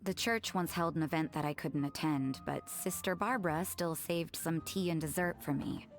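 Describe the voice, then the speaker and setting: A woman playing an aloof, world-weary young nun in a video game, blunt, dry and deadpan. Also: deep voice, moderate pace. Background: music.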